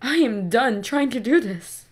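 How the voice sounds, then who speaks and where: A woman playing a devoted, excitable mother in an audio drama, expressive and motherly. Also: on the high side, unhurried, breathy edge. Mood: exasperated. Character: devoted, excitable mother, an eccentric village baker. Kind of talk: amateur audio drama acting.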